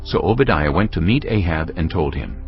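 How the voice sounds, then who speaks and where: A man's voice: low voice, lively intonation, a little fast, dull audio, soft background music. A man narrating scripture as a scripted drama, in a solemn storytelling manner.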